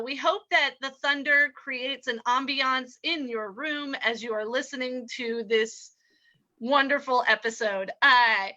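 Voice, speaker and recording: A woman's voice: high-pitched, pushed and bright, muffled recording. A woman announcer hosting and narrating an old-time radio show reading.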